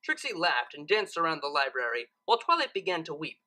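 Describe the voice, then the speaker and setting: A woman's voice: low voice, very animated, fairly quick, pushed and bright. A woman who hosts story readings, moving between narration and casual chat. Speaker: fan fiction reader and channel host.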